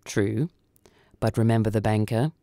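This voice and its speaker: Woman voicing a stern, dark-toned judge of the dead. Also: rumbling low, steady tone, moderate pace, no echo. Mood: accusing. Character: sombre supernatural male judge of the dead, coolly mocking.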